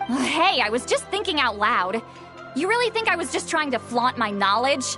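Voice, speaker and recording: Lively, high-voiced know-it-all scholar. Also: female voice, normal speed. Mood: defensive. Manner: breezy, rambling, pedantic. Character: know-it-all, easily offended, long-lived youthful woman scholar. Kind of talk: video game voice acting. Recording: faint music behind the voice.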